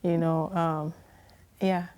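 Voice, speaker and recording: Ghanaian woman guest, kinda low voice, earnest, lots of uh and um, chatty interview. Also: little pitch movement, takes it slowly, dark tone. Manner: earnest, with frequent hesitations and laughs. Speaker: guest, restaurant owner. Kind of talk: casual interview conversation.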